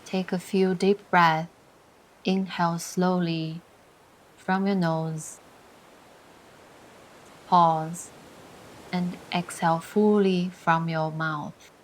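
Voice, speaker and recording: A woman leading a scripted guided meditation in a soothing, deliberate way, pausing between short phrases. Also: lowish voice, little pitch movement, slight background noise, low-quality recording. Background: music.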